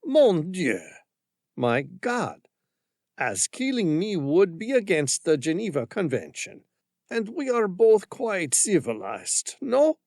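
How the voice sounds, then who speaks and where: A nervous French commander pleads for his life in a heavy French accent, as a man puts him on. The voice climbs high and stretches each word slowly. The sound is dry and close. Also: wildly swooping pitch, a little mellow, no room sound, heavily acted. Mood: pleading. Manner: high, slow, pleading delivery.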